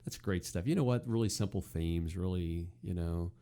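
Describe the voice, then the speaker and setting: A man's voice: low-pitched, normal speed, dark tone. A man hosting a casual chat about classic TV comedy, talking in a rambling, enthusiastic way. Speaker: podcast host.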